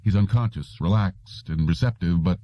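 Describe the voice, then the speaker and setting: A man's voice: low-pitched, moderate pace, dark tone, close to an everyday voice. A man voicing an apologetic, worried male technician in an audiobook. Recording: roomy, dull lo-fi audio.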